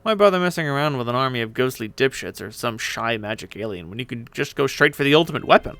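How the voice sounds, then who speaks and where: Guy doing a bossy ghost pirate girl, sarcastic and swingy, bit of fry in the voice, dramatic story reading. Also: mid-range voice, animated, fast talker, a bit bright, dry recording. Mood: cocky. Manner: impatient, sarcastic, swearing.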